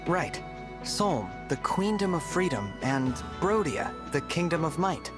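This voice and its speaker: A man playing a gentle, earnest young divine hero in a video game, soft-spoken and formal in manner. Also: a little high, big pitch swings, unhurried, over music. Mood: thoughtful, sincere. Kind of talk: scripted video game voice acting.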